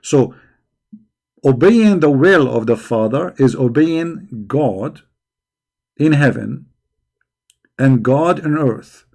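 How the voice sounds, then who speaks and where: A man with a gravelly, creaky voice at an ordinary middle pitch hosts a live debate stream. He answers viewers in a combative, mocking way and often repeats words while he gropes for the next phrase. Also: lively intonation, slow, pauses often, darkish tone. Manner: combative and mocking toward questioners. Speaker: religious debate host.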